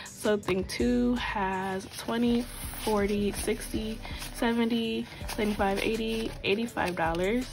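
A woman's voice: fairly high pitch, steady tone, a little slow, poor audio. A woman with a sore throat, casually chatting as she counts cash aloud for her budgeting videos at home. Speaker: budgeting channel host. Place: tabletop at home. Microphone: overhead camera, hands only.

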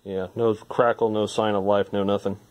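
Low-voiced man musing casually. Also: level tone, normal speed, a little mellow. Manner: informal, musing aloud. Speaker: hobbyist electronics repairer. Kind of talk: casual hands-on repair commentary. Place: indoor workbench.

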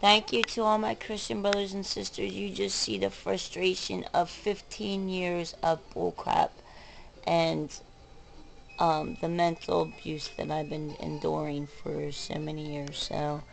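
A woman's voice: sits low, unhurried, gravelly, poor audio. A middle-aged woman, talking casually and bluntly in a rambling, aggrieved way to camera at home. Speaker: home vlogger. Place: rooms of a home, indoors.

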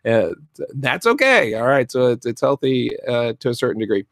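Middle-aged man, soft-spoken coach, gravelly edges, rambling with fillers, dry close desk mic. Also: mid-range voice, sing-song, normal speed, no echo. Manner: rambling, many fillers and restarts. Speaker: fluid art business coach. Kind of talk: casual live-stream talk. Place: cluttered home art studio, indoors. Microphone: desk mic in front of webcam.

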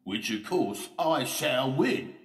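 A man voicing a boastful, sneering bully of a lorry who gloats slowly in a children's story. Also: medium pitch, animated, echoey room, far from the mic, voice pushed up.

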